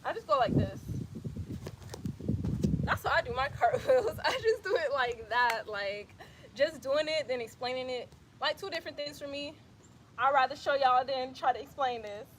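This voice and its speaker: A woman's voice: high-pitched, lively intonation, normal speed, fairly bright tone, a little hiss or hum. A young woman from Atlanta with an Atlanta accent, chatting casually and laughing often as she teaches handstand practice outdoors, filmed on a phone. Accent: Atlanta, Georgia. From Atlanta, Georgia, US. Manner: chatty and laid-back, says "y'all" and laughs often. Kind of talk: casual workout instruction. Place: outdoors in bright sun on an exercise mat.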